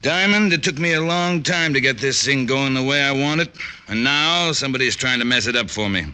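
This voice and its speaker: Pushy self-made factory boss, very hard-edged, forceful mid-range voice, blustering old radio tough talk. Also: male voice, medium pitch, brisk, smooth. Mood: aggrieved, forceful. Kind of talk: mid-century radio drama acting.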